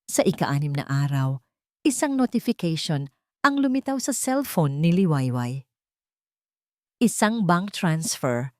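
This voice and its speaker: Low-voiced woman narrating a dramatic story. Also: big pitch swings, unhurried, pauses often, dark tone, no room sound, clean studio sound.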